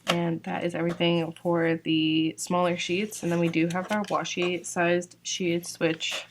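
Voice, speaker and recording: A young woman talks casually and unhurriedly, filling her sentences with "like" and "um." Her voice sits a little low and sounds a bit worn, as if she has been losing it lately. She is from Georgia. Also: little pitch movement, unhurried, slight background noise. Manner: chatty, fillers like "like" and "um". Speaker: sticker shop owner presenting new releases. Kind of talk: casual product walkthrough.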